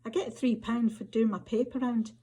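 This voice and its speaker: A woman with a Scottish accent reading short stories aloud as an author, her voice husky. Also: fairly high pitch, flat delivery, normal speed, warm tone. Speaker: author reading their fiction.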